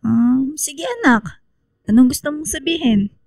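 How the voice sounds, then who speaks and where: An actress playing a kind, motherly female schoolteacher in a radio drama, speaking gently and affectionately. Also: high-pitched, measured pace, gravelly, no room sound, clean studio sound, full character voice. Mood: caring, curious. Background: music under the voice.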